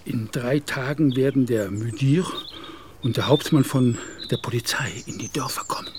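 An actor playing an anxious, middle-aged Turkish gendarme officer who pleads and often whispers, in a radio play. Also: male voice, medium pitch, moderate pace, close to an everyday voice. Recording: radio play with clear background noise.

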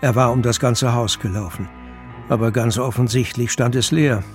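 A man's voice: medium pitch, steady tone, moderate pace. A man narrating a scripted, dramatic audiobook as a storyteller. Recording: music bed under narration.

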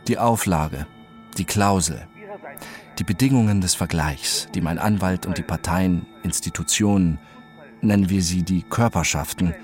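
Deep voiced guy acting a numb accident survivor telling his story, careful n introspective, radio play with music behind. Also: natural voice. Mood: detached, introspective. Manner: deliberate, introspective, precise. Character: detached, traumatized male accident survivor narrating his story. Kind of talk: literary radio drama narration. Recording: music under the voice.

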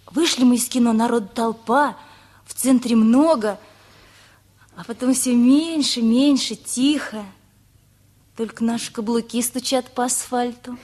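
High, husky-voiced chatty teenage girl. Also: a little mellow. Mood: dreamy, chatty. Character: lively, chatty teenage girl just out of school. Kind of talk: radio play acting.

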